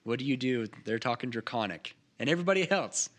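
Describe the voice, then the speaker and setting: A man narrating a tabletop game as game master, describing scenes in a halting way. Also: mid-range voice, expressive. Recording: noticeable background noise.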